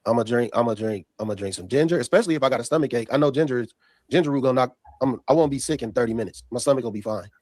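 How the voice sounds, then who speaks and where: A man in a played podcast clip, arguing back in a rapid, animated way. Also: mid-range voice, no room sound, studio quality. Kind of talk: casual debate.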